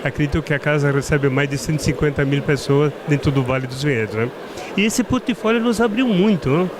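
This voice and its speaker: A middle-aged man from southern Brazil with a strong Italian-tinged accent, speaking as a winemaker guest in a halting way, often restarting his words. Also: a little high. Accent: Italian-tinged Brazilian Portuguese. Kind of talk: casual interview at a trade fair. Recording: noisy trade fair ambience.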